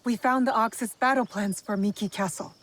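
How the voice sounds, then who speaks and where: A woman's voice: a little high, moderate pace, close to an everyday voice. An actress playing a determined young female warrior in a video game, blunt but compassionate, with a direct, probing way of speaking. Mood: businesslike. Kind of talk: dramatic video game cutscene acting.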